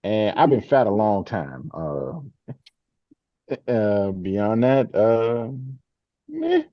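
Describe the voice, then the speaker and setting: A man in his forties from Brooklyn, a panel guest who talks in a slow, self-deprecating way. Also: lowish voice, huge pitch swings, dry recording, highs cut off. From Brooklyn, New York. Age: 40s.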